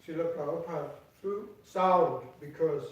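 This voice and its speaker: An older man speaks slowly and haltingly, often restarting mid-phrase with repeated words. His voice sits a little high for a man. He sounds far from the mic in a very echoey temple hall. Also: dull audio. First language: Danish. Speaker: devotee giving an offering speech. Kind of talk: personal tribute speech.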